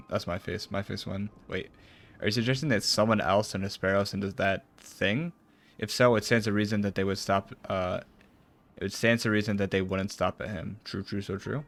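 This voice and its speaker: Low voiced guy streamer reading game cutscene lines out loud, trips on words, casual reactions. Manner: stumbles while reading formal dialogue, swears casually.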